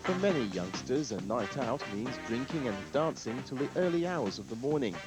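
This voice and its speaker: A man narrating a television news report in a measured, formal way. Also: medium pitch, sing-song, loud music behind the voice, low-quality recording.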